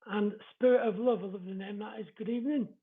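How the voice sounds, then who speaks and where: High-voiced Yorkshire man, welcoming and chatty. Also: steady tone, fast. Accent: East Yorkshire. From East Yorkshire, England. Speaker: spiritual medium hosting a live show. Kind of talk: casual livestream chat greeting viewers. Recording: dull, lo-fi livestream audio.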